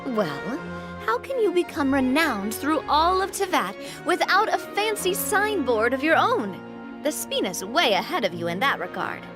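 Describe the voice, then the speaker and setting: A woman voicing a lively, generous young female group leader with a hearty, upbeat, commanding manner, in game voice acting. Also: high voice, a little slow. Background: game music.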